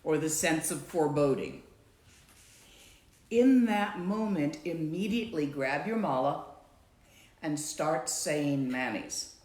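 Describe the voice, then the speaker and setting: A woman teaching meditation in a slow, measured voice. Her words carry a clear room echo around them. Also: sits low, expressive, a little mellow. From Latvian background. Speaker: Dzogchen teacher. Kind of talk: answering a student's question.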